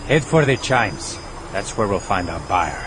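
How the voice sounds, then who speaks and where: An actor playing a stern, wary terrorist leader in an audio drama, terse and commanding. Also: male voice, on the low side, expressive, a little slow. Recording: loud vehicle engine noise behind.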